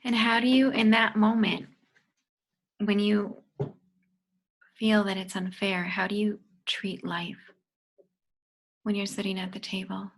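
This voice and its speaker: Woman facilitator, deliberate gentle pacing, guided questioning. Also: mid-range voice, level tone. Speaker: facilitator guiding the inquiry.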